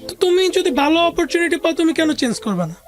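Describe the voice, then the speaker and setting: High-voiced Bangladeshi woman telling her story. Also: big pitch swings, fast, soft background music. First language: Bengali. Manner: conversational, mixes in English words. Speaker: interview guest. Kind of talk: recounting a personal life story.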